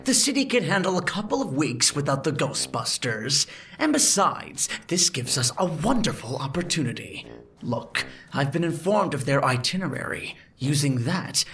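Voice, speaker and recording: Male actor as smug government official, formal diction, animated intonation, audio drama. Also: fairly high pitch, far from the mic. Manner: formal, self-satisfied. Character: smooth, officious male city bureaucrat.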